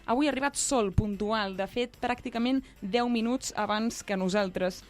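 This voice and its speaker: A woman's voice: fairly high pitch, moderate pace, soft background music. A woman with a Catalan accent co-hosting a talk show, moving between prepared introductions and casual banter. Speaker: co-host.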